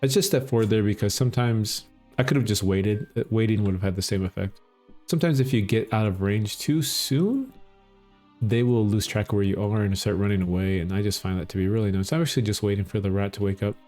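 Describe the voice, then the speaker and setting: Middle-aged minnesota guy talking thru a game, low mellow voice, chill, bit of music behind. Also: fast. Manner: relaxed, explanatory, chuckles often. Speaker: gaming channel host. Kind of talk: casual gameplay commentary.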